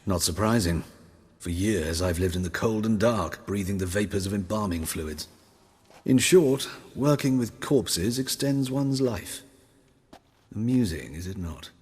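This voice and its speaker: Low, very husky scholarly coroner, formal, sombre, wry, video game, long reverb. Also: male voice, expressive, a little slow, darkish tone. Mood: wry, measured. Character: courteous, sombre, learned old coroner, wryly morbid. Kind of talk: video game character acting. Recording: long echoing hall reverb.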